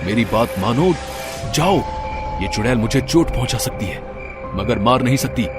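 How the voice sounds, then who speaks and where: An actor playing a stern, protective old forest mystic who speaks in a commanding, dramatic way in a horror story. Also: male voice, medium pitch, expressive, normal speed, over music, natural voice.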